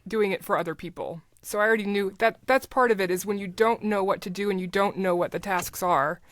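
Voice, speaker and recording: A woman's voice: mid-range voice, brisk, no room sound, clean studio sound. A middle-aged woman talking casually as a podcast guest, explaining things in a conversational way. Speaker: podcast guest, writer and producer.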